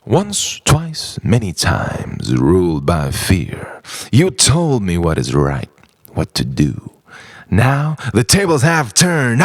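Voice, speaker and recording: A man delivers a dramatic spoken word piece in slow, weighted phrases. His voice is gravelly with fry and moves across a huge range between hushed and forceful delivery. The sound is dry and close. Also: sits low, no room sound. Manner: dramatic, swinging between hushed whispers and shouted outbursts; short, deliberate phrases with heavy pauses. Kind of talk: theatrical spoken word poetry monologue.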